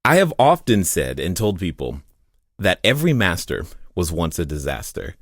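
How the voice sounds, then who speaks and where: A man's voice: sits low, wildly swooping pitch, normal speed, no echo, studio quality. A man from the American South hosting a podcast interview, casual and curious, laughing easily. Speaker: podcast host.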